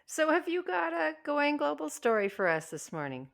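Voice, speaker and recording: A woman's voice: high voice, big pitch swings, gravelly, a bit bright. Woman co-host asking questions. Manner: friendly questioning, quick reactions. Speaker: podcast co-host. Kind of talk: casual interview chat.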